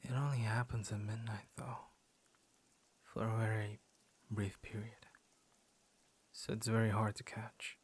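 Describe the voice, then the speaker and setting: A man playing a gentle, lonely young werewolf in an intimate ASMR roleplay, speaking softly and often slipping into a breathy whisper. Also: fairly low pitch, flat delivery. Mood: soft, confiding. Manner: soft, breathy, often dropping into whispers, hesitant pauses. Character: gentle, lonely young male werewolf turned tender suitor. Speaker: voice actor in audio roleplay. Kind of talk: ASMR boyfriend roleplay, intimate scripted monologue.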